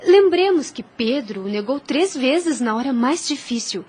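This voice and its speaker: Woman playing a high-voiced, devout Brazilian young girl. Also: lively intonation, darkish tone, slightly rough, heavily acted. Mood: earnest, consoling. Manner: earnest, preaching, measured. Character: gentle, devout young girl, wise religious teacher. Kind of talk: audio drama acting.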